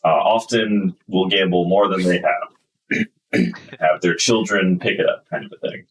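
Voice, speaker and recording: Deep-voiced game master, mic set back. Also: male voice, a little slow, recorded from a distance, slight background noise, poor audio. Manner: explains at length with many fillers. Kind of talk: narrating a tabletop game.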